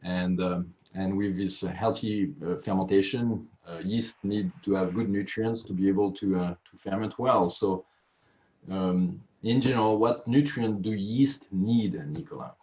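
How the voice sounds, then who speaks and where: Deep-voiced man hosting a video webinar. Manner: halting, many filler pauses. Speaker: webinar host. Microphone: video call. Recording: muffled video call audio.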